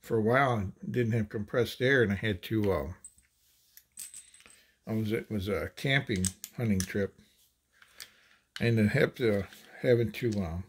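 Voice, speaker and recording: A man casually demonstrating ammunition handloading at a table, talking in halting phrases with many fillers and restarts. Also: lowish voice, slight background noise. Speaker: handloading channel host.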